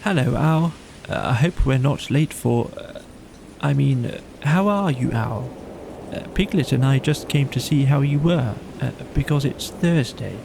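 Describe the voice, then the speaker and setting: A polite, bumbling bear from a children's storybook, played by a man. He is friendly but hesitant, stumbling over phrases in a soft-edged, mellow voice. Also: medium pitch, a little slow, vocal fry, slight background noise. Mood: flustered, friendly.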